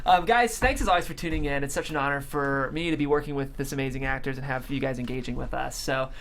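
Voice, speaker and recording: A man's voice: mid-range voice, fast, fairly bright tone. A man hosting a casual panel discussion, speaking in a conversational way. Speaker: host. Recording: faint background noise.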